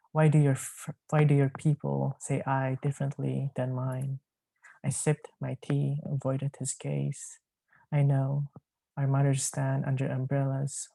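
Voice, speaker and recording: A man reads his own poems at a measured pace. His voice is dark and soft-edged with a gravelly creak, and it stays fairly flat in pitch, captured close and dry. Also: no echo, rough recording. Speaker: poet. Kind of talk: poetry reading.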